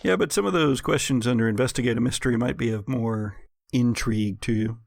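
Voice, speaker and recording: A man running a gothic horror tabletop game as game master, narrating deliberately and descriptively with suspenseful pauses. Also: medium pitch, vocal fry, slight background noise.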